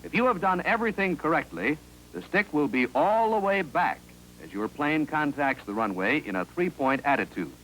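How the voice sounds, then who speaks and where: An American man reading scripted narration for a 1950s instructional film in a clear, authoritative, instructive manner, on an old film soundtrack. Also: a little high, expressive, moderate pace, a bit bright, slight background noise, low-quality recording.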